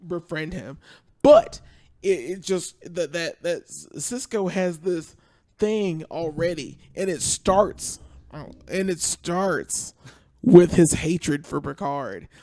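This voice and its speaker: A man's voice: a little high, lively intonation, slow, dark tone. A man chatting casually as a podcast panelist, with a blunt, opinionated way of talking. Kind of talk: casual fan chat.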